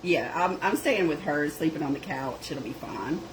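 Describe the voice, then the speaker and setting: Middle-aged Southern woman, craft host, lower voice, drawling chatter, background noise. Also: expressive, normal speed. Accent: Southern US, North Georgia. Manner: chatty, drawling, says "y'all" and "girl". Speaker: craft shop owner and video host. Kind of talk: casual crafting livestream chat.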